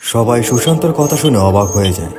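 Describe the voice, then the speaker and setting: A man's voice: mid-range voice, expressive, brisk, over music. A man reading scripted narration for a horror story.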